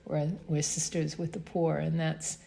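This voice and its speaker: Gravelly East Coast American woman host. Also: low-pitched, flat delivery, a little slow, warm tone. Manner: reflective, tags thoughts with "you know" and "right?". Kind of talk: casual conversation.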